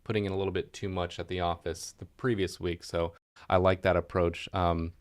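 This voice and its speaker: A man's voice: low-pitched, little pitch movement, fairly quick. A man co-hosting a podcast, responding and asking questions in a conversational style. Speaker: podcast co-host. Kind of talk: conversational interviewing.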